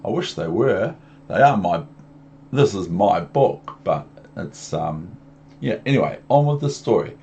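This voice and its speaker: Animated New Zealand man reading to children. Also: fairly low pitch, a little slow, gravelly. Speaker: storybook reader. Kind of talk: animated read-aloud for young children with chatty asides.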